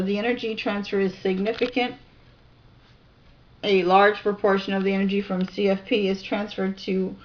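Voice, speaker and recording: A woman narrating a video, reading scientific text aloud with informal asides, halting over technical terms and correcting herself. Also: medium pitch. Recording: dull lo-fi sound.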